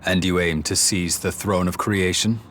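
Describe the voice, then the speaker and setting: A man playing an earnest humanoid construct made to protect humanity in a video game, formal and measured, over loud music. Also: deep voice, fairly even intonation, slight background noise. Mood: probing. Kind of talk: dramatic video game voice acting.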